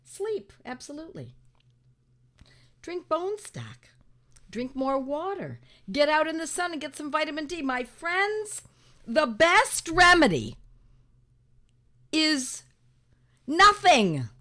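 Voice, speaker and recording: An older woman talks through her cold, her voice raspy and a little hoarse. She sits a touch above the usual pitch and lets it rise and fall a lot. She leans hard on each point and repeats lines to drive them home. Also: slow pace, long pauses. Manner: animated and emphatic, repeats phrases, talks to viewers as friends. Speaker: homeopathy teacher hosting her own channel. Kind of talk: casual talk to camera.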